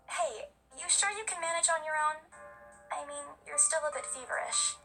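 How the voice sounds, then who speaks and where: A woman's voice: high-pitched, moderate pace, over music, pitched above the performer's own voice, low-quality recording. A woman voicing a caring, earnest young woman worried about her friend. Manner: concerned, earnest.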